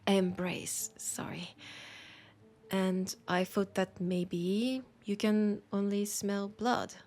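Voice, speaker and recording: A woman with a French accent playing a teasing, curious bookshop keeper in an intimate audio roleplay, speaking softly and musingly and often slipping toward a whisper. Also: mid-range voice, flat delivery, drawn-out words. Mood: musing, gentle. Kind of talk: intimate audio roleplay, soft acted monologue.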